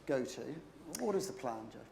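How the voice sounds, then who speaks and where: A man's voice: medium pitch, very animated, normal speed, creaky, darkish tone, slight background noise. A man hosting a conference panel, upbeat and prompting the speakers with questions. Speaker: panel moderator. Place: conference hall.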